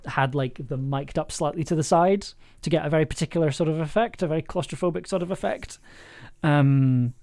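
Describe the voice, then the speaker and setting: A man chatting casually on a livestream as he works, enthusiastic but halting, repeating words and letting thoughts trail off. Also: on the high side, darkish tone. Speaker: audio drama maker livestreaming an editing session. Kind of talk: casual livestream chat while working.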